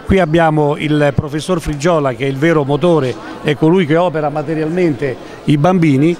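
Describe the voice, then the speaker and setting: Earnest older Italian man, slow and modest. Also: on the high side, steady tone, a little mellow. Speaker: charity event president. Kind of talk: interview at an event. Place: large indoor lobby of a concert venue. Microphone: handheld interviewer mic.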